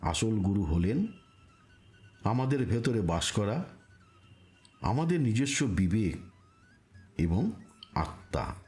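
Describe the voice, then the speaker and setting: A man delivering a scripted spiritual narration in a solemn, deliberate manner, with rhetorical pauses. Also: lowish voice, big pitch swings, a little mellow, faint music behind.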